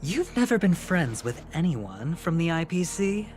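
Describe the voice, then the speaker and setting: An actor playing a smooth-talking, vain young gambler in a video game, with a flamboyant, self-satisfied delivery. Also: male voice, fairly high pitch, big pitch swings, measured pace, a little mellow. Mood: smug, threatening. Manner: suave, smug, flamboyant. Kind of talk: video game character acting. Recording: music playing behind the voice.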